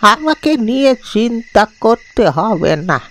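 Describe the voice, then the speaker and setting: Slow-talking frail old village grandfather. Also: mid-range voice, sing-song, a bit bright. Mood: fussy, insistent. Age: older. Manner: slow, rambling, repeats words. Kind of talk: dramatised animated ghost story.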